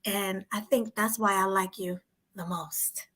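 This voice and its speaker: A woman's voice: medium pitch, steady tone, measured pace, bright, forceful tone. An American woman from New Jersey, around her thirties, hosting a casual interview in an informal, encouraging way. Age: 30s. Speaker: host interviewer. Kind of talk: casual live-stream interview.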